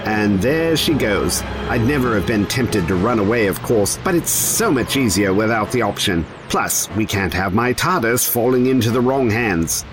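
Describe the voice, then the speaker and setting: Male lead, lower register, animated delivery, sardonic. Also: normal speed, hardly any pauses, music in the background. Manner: wry, sardonic inner monologue. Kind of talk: audio drama acting.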